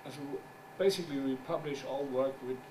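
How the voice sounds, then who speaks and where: Halting non-native man lecturing from afar. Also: medium pitch. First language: German. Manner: halting, searches for words, wry asides. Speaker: journal editor giving a talk. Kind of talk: conference presentation. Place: conference room. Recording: distant mic with background noise.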